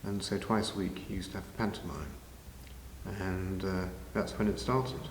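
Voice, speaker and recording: An older man with a very low voice reminisces in an unscripted interview. His words ring with a long reverb in an echoey hall, over faint noise. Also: steady tone, normal speed. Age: 50s or older. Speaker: interviewee, long-time pantomime band member.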